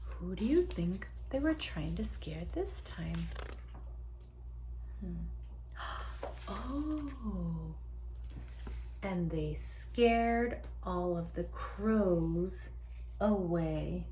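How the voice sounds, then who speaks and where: A woman reading a story aloud to young children, expressive, drawing out words, dropping into hushes and asking the listener questions. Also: lowish voice, drawn-out words, long pauses, a little hiss or hum, rough recording. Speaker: children's story reader, teacher.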